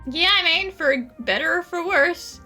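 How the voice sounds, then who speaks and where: A woman's voice: very high voice, a little slow, pushed and bright. A chatty woman doing casual, improvised commentary and replies during a video game playthrough. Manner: chatty, laughs easily. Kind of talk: casual improvised let's play dialogue and commentary. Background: game music.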